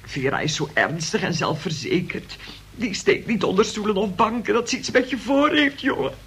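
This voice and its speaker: A woman's voice: mid-range voice, lively intonation, normal speed, natural voice. A woman playing a pious, fretful mother of a grown son in a Dutch radio play, plaintive and hesitant. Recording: noisy old radio play recording.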